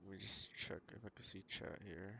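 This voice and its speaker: A man's voice: low voice, steady tone, brisk, gravelly, noisy background. A man giving casual live commentary on a video game, thinking aloud as he decides what to do. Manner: talks through decisions as if thinking aloud.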